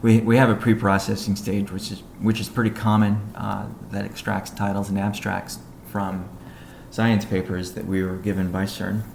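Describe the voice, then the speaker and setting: A man with a low, creaky voice delivers a technical business update on a conference call. His delivery is measured and halting, with little melody. The sound is roomy and echoey. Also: little pitch movement, darkish tone. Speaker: company executive giving update. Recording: echoey room, faint background noise.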